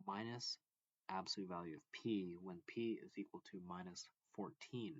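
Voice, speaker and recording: Low-voiced man teaching math, echoey room. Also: highs cut off. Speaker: math teacher reading a textbook aloud. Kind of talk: instructional read-along of a math textbook.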